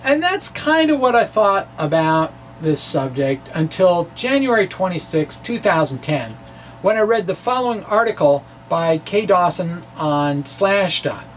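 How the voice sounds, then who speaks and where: A middle-aged man presents a science blog in a slow, halting delivery. He slips into wry, self-deprecating asides as he reads. The mic sounds some distance away, with faint noise behind him. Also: high voice, big pitch swings. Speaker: science video blogger. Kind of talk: dramatic reading of a science blog with informal asides.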